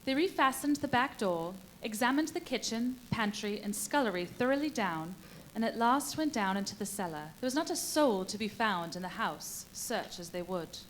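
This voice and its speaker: A woman playing the composed narrator of a radio play, giving measured, formal scripted storytelling. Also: medium pitch, expressive, close to an everyday voice. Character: composed female narrator of an eerie village tale. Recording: faintly noisy live stage recording.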